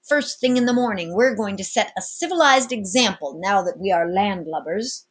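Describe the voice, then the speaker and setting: A woman voicing a commanding, wry male sea captain in a storybook, formal and brisk in manner. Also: medium pitch, husky, close to an everyday voice.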